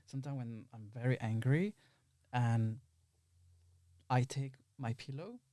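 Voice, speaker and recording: Mellow man chatting candidly, close dry sound. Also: medium pitch, unhurried, no room sound. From Belgium. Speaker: podcast guest, filmmaker. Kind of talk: casual interview chat.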